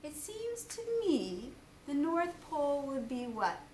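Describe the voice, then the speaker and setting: A woman plays a gentle, thoughtful male bear out of a storybook. He muses softly and slowly, a simple soul. The recording is roomy, with the mic a little way off. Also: high voice, sing-song, voice pushed up, heavily acted. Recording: roomy, distant mic, faint background noise.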